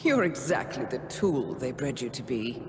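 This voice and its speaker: A cold, calculating female intelligence handler from a video game. Her delivery is measured and cutting, with lively pitch swings, in long reverb over clear music. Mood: cold mockery. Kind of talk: scripted video game acting.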